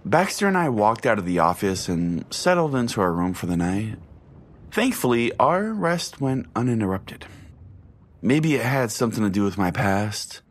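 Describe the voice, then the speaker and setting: A man narrating a first-person story as a podcast host, with deliberate pauses and a dramatic delivery. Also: fairly low pitch, very animated. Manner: deliberate, pausing. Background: music.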